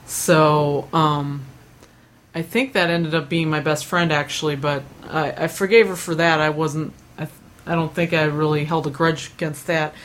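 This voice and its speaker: Rambling woman, low voice. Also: steady tone, normal speed. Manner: rambling, self-deprecating, many fillers and restarts. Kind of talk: casual chat.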